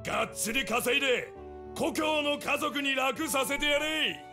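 Gruff barking sea captain. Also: male voice, very high-pitched, fairly quick. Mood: rousing. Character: gruff male ship captain, rough sailor. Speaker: ship captain in visual novel. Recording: game audio with clear music.

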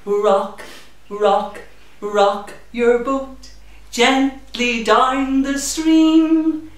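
A middle-aged woman hosting children's story time in an animated, sing-song manner, talking and singing to small children. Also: a little high, drawn-out words. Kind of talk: children's story time with puppet chat and songs. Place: indoors, small room in a community library. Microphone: camera a few metres away. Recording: very echoey room, distant microphone.

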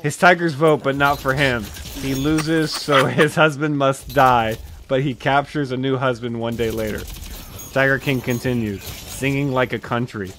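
Deadpan young man reading aloud, noisy mic. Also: mid-range voice, normal speed. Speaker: streamer reading scripts. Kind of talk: casual comedic script read-aloud. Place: small dim room, indoors. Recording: home microphone with background noise, clicks.

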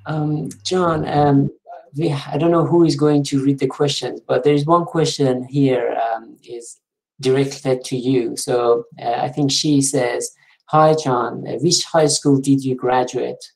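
Creaky-voiced man moderating online. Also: mid-range voice, fairly even intonation, measured pace, a little mellow, poor audio. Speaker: webinar moderator. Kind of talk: informal question reading. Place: indoors on a video call. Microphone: video call microphone.

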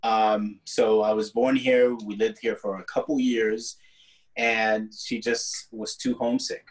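A man in his forties whose first language is Spanish, an artist telling stories on a video call from a room at home, unhurried and quick to laugh. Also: fairly low pitch. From born in the US, raised partly in Guatemala. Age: 40s. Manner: unhurried, laughs easily, expressive. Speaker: artist guest. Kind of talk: relaxed conversational storytelling. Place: home room with colorful paintings on the wall. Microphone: laptop webcam video call.

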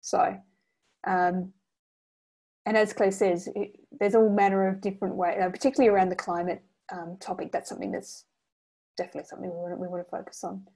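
A woman's voice: medium pitch, level tone, a little fast, vocal fry, mellow, low-quality recording. Rambling Australian woman curator on a call. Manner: rambling, searching for words. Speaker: exhibition curator. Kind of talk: casual video call chat. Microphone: laptop video call.

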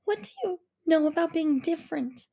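A woman's voice: very high-pitched, unhurried, warm tone, voice pushed up. A woman voicing a frightened, upset teenage girl. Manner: distressed, pleading.

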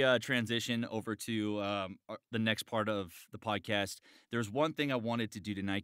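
A man's voice: lowish voice, a bit bright, smooth, no echo, studio quality. A man hosting a podcast in a casual, chatty style. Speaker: podcast host.

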